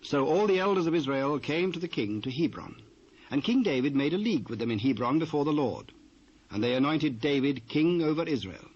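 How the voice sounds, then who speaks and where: A British man narrating a dramatised Bible reading in a measured, formal manner. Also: a little high, animated, darkish tone. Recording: dull, noisy old cassette.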